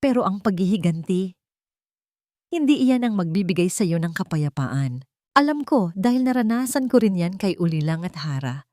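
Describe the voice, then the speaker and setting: A woman voicing a gentle, forgiving young mother with a soft, tender delivery in a dramatic story. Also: fairly low pitch, big pitch swings, normal speed, no room sound, studio quality. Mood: consoling.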